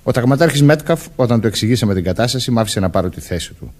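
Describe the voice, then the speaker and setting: Lively, swooping policeman played by an actor. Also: mid-range voice, lightly acted. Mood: calm, explaining. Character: undercover policeman posing as a major, reassuring, matter-of-fact. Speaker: radio drama actor. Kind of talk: Greek radio play acting.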